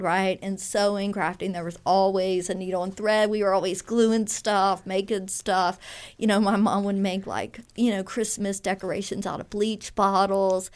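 A woman in her fifties from Louisiana, a fiber artist chatting in a radio interview, rambling through stories with restarts and small laughs. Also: mid-range voice.

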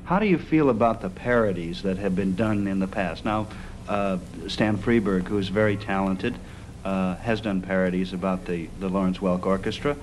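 A faintly noisy, rumbling tape. A man with a low voice puts a question conversationally as an interviewer. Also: normal speed.

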